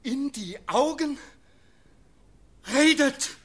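An actor playing a hot-tempered young male fugitive in a classic German radio play, his husky voice often dropping to urgent whispers. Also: very high voice, animated, drawn-out words, fairly bright tone, full character voice. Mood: horrified. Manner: urgent, anguished outbursts.

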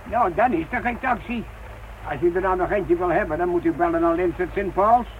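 Casting: man as folksy station attendant, high-pitched, chatty and obliging, muffled radio drama recording. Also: fairly quick, slight background noise, lightly acted. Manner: folksy, talkative, polite. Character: chatty, helpful male village station attendant. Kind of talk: Dutch radio play acting.

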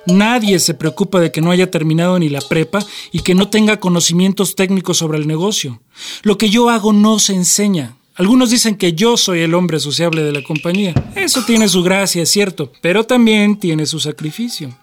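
Actor playing aggrieved husband, male, high-pitched, boastful and touchy, radio drama monologue, faint music behind. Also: moderate pace, close to an everyday voice. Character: touchy, boastful, sociable husband who drinks.

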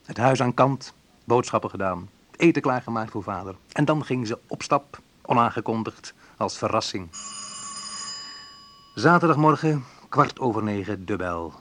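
A man's voice: mid-range voice, animated, moderate pace, fairly bright tone, a little hiss or hum, close to an everyday voice. An actor playing a wry, sensitive young man who recalls his student love life in an intimate first-person radio play monologue. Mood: tense recollection.

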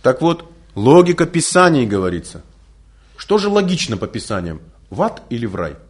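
A man who is not a native Russian speaker giving a spiritual lecture, full of rhetorical questions and lively storytelling. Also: mid-range voice, moderate pace. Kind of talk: recorded religious lecture.

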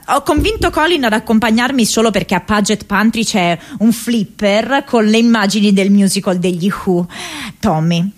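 An actress playing a restless, ironic young woman activist in a radio drama, reading her diary in an expressive, hesitant, conversational way. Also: medium pitch. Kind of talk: theatrical radio monologue, intimate diary reading.

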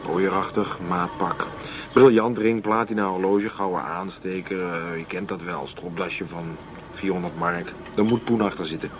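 An actor in a radio drama playing a cocky, short-tempered underworld go-between with a blunt, streetwise way of talking. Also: male voice, low voice, steady tone, normal speed, a little hiss or hum, close to an everyday voice. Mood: frank, conspiratorial. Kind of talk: Dutch radio drama dialogue.

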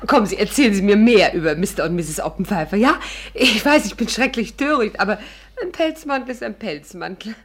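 An actress in a 1950s German radio play playing a witty, self-possessed stage actress with a pert, dryly ironic manner. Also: female voice, mid-range voice, lively intonation, a little fast, natural voice. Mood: delighted.

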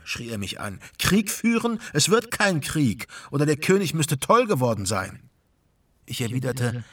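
Ardent prussian officer telling war memories, husky voice, bit off the mic, dramatic radio play. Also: male voice, mid-range voice, recorded from a distance, heavily acted. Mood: agitated, then recollecting, indignant. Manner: dramatic, acting out quoted exchanges. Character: ardent male Prussian officer recalling his wartime youth.

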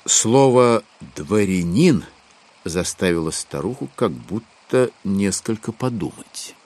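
An actor as the wry storyteller of a classic novel, giving expressive literary narration in an audio drama. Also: male voice, fairly low pitch, takes it slowly.

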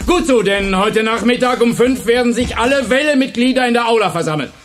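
A man's voice: very high voice, brisk. An actor playing a driven, commanding male schoolteacher with a dictator's streak in a German radio drama. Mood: fervent, commanding.

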